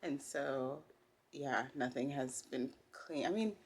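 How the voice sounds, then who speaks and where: A woman's voice: very deep voice, takes it slowly, slightly rough. A woman talking candidly and informally in a casual personal vlog, recorded at home. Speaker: vlogger, costume designer. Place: indoors at home.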